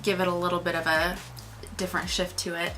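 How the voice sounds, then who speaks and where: A woman's voice: fairly low pitch, flat delivery, fast, pushed and bright, faint music behind. A woman co-hosting a movie review in a casual, chatty, informal way. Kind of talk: casual film discussion.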